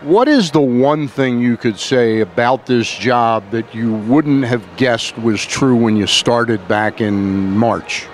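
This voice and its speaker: A man's voice: mid-range voice, takes it slowly, few pauses, a little hiss or hum. A man hosting a sports show, interviewing a guest on location at a ballpark. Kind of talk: sports interview and sponsor reads.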